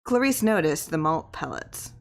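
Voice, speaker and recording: A woman with a slightly low voice narrates a horror short story. She reads from the text as an audiobook reader, telling it in scripted prose. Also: lively intonation, moderate pace, gravelly.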